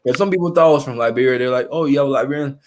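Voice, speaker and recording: A young man talking casually as an interview guest, pausing and restarting often as he gathers his thoughts. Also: mid-range voice, a little hiss or hum. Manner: halting, with frequent restarts and fillers.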